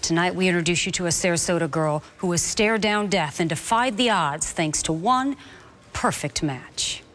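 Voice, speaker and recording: A woman's voice: fairly low pitch, normal speed, a bit bright. A woman news reporter reading scripted narration for a television feature.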